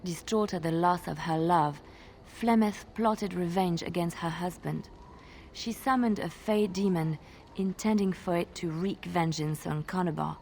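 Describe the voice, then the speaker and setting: An actress playing a traveling minstrel in a fantasy game, telling tales in a measured, suspenseful and lyrical way. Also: female voice, on the low side, a little mellow, a little hiss or hum. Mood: dark, suspenseful. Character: female traveling minstrel, soft-spoken, wistful storyteller. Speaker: game companion character. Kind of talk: dramatic storytelling in a fantasy game.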